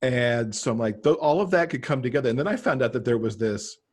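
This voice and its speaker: A man's voice: medium pitch, animated, fast, slightly rough. A middle-aged American man from Michigan chatting casually as a guest author on a video call. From Michigan, United States. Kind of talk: casual interview chat. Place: indoor room, video call.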